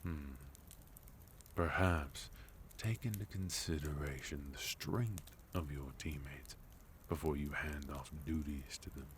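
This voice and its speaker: Male actor as sophisticated villain leader for ASMR roleplay: extremely low, unhurried, formal, quietly threatening, faint noise behind. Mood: dry, amused. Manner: formal, polite, measured, with deliberate pauses. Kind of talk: ASMR roleplay, intimate second-person acting.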